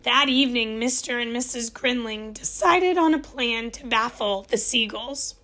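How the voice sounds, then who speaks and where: A woman reading a children's picture book aloud at story time, gentle and expressive, lingering on key words. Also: fairly high pitch, moderate pace. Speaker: storytime reader.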